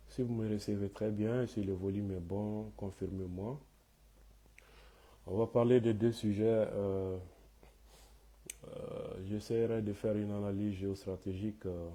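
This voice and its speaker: Low, slow man with a cold. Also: dark tone. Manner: hesitant, many "euh" fillers and restarts. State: has a cold, hoarse. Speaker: host of a live online talk. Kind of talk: live commentary, switching French and Bambara.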